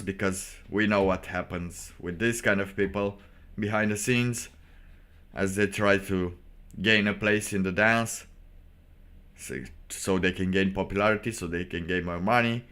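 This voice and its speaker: Slow, low-voiced man streaming commentary. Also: steady tone, a bit bright. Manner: halting, repeats phrases for emphasis; sardonic. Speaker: livestream host. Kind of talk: casual solo livestream commentary.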